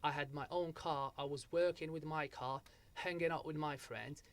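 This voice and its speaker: A man's voice: on the high side, fairly even intonation, normal speed. A young man from Algeria, a guest in a casual interview, telling his story with frequent restarts and a repeated "so what happened?".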